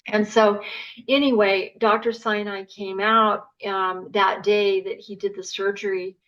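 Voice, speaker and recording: A woman telling a long story in casual chat as a podcast guest, animated, with filler words. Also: mid-range voice, a little slow. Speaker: patient's wife, podcast guest. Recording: muffled lo-fi podcast audio.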